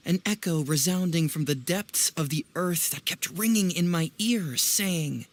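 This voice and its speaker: A man's voice: fairly high pitch, measured pace. An actor playing a clever, playful young male detective in an anime video game, musing theatrically and teasingly. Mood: intrigued, musing.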